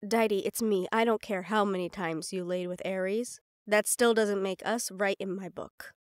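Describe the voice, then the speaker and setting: A woman's voice: mid-range voice, steady tone, moderate pace, no room sound, clean studio sound, lightly acted. A woman playing a stubborn, sarcastic young goddess in an audio roleplay drama, teasing and defiant. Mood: exasperated.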